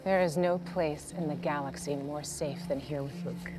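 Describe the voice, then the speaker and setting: An actress playing a calm, wise warrior with a measured, gently formal delivery in a screen drama. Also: female voice, low voice, lightly acted. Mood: calm, advising. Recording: film soundtrack with loud music behind.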